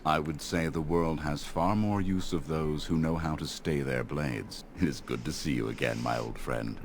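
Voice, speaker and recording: An aged elf elder with an extremely deep voice. He speaks in a grave, courtly way, as in a fantasy game, over faint background noise. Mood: warm, dignified. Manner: measured, courtly. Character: wise old male elf elder, grave and gracious. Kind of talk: fantasy video game dialogue.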